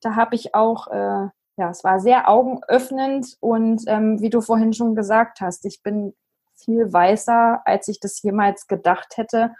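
A woman co-hosting a podcast, talking through a book she read in a thoughtful, halting way with many fillers. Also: fairly high pitch, steady tone, warm tone, slightly rough. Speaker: podcast co-host. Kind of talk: casual book chat.

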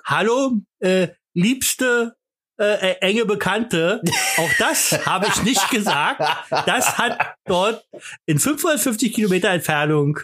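A man's voice: high-pitched, expressive, takes it slowly, a bit bright. A man with a Berlin accent hosting a podcast, joking around in boastful, mock-pompous banter full of fillers and restarts. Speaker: podcast host.

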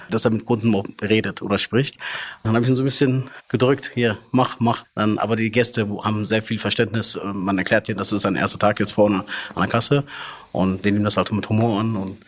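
A man's voice: on the low side, fairly even intonation, a little fast. A young man, a restaurant manager, talking casually in an interview with frequent fillers and restarts.

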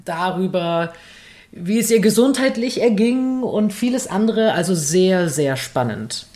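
A woman's voice: medium pitch, lively intonation, measured pace, warm tone. A woman with a Kölsch accent co-hosts a casual pop culture podcast. She talks in a loose, chatty way, laughing often and restarting her sentences as she goes. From Cologne, Germany. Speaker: podcast co-host. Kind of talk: casual chat. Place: home in Berlin.

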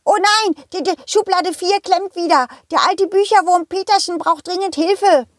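A woman's voice: extremely high pitch, normal speed, no echo, studio quality, pitched above the performer's own voice. A woman voicing a small, worried bookworm in a children's audio play, calling out with a stammer. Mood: alarmed.

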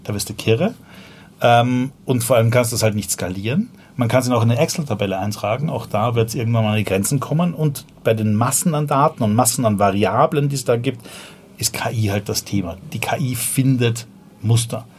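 A man in his forties with a Viennese accent talks as a podcast guest, relaxed and slangy. His voice sits at an ordinary middle pitch, with a faint hiss of background noise behind him. He restarts often and peppers his talk with fillers. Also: brisk. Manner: loose and slangy, frequent fillers and self-restarts. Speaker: podcast guest, startup founder. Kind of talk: casual conversational storytelling.

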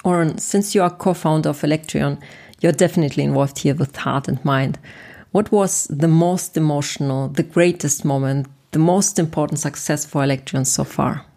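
A deep, gravelly-voiced female interviewer. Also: normal speed, mellow. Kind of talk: scripted interview questions.

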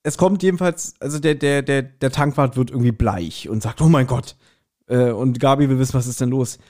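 A man's voice: mid-range voice, fairly quick. A man co-hosting a podcast, casually recapping a story and acting out bits of dialogue as he retells it. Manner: animated retelling with acted-out quotes. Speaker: podcast co-host. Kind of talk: casual podcast chat recapping an audio drama.

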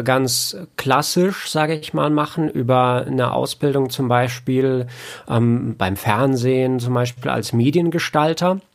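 A man, an audio producer talking as an interview guest, explaining things in a halting way and repeating words as he thinks. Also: mid-range voice. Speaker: audio producer and sound engineer, podcast guest.

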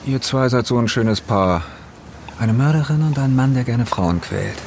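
A man's voice: medium pitch, moderate pace, natural voice. An actor in an audio drama playing a guarded, bitter man bent on revenge, speaking tersely and with restraint. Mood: cold, bitter. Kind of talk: German audio drama acting. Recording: clear background noise, ambience.

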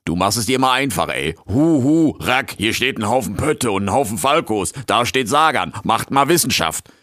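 A man's voice: mid-range voice, sing-song, moderate pace, a bit bright, no room sound, clean studio sound. A man voicing a cheeky male raccoon intern who grumbles in street slang. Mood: defiant.

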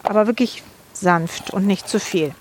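A woman's voice: medium pitch, measured pace, a little hiss or hum. A woman from Swabia in her fifties, chatting casually while she cooks and explains each step. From Swabia, Germany. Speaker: cook. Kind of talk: casual cooking chat.

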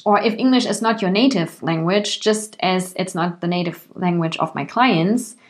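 A woman with a German accent, a native German speaker, hosting her own podcast as an English coach. She speaks carefully and clearly at a measured pace. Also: on the low side. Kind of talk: solo podcast monologue.

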